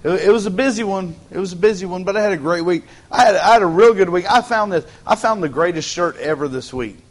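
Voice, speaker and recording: A Texan pastor preaching to his church in a relaxed, joking way. He talks with a slightly high voice, loose and folksy, often restarting phrases as he goes. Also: fast. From Texas. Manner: joking, folksy, repeats words as he starts phrases. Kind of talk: casual humorous sermon. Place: church sanctuary.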